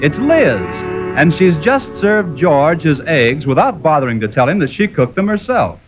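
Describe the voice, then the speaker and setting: A man's voice: on the high side, very animated, normal speed, rough recording. A man working as a radio announcer, reading scripted introductions and narration in a 1940s broadcast style. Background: music.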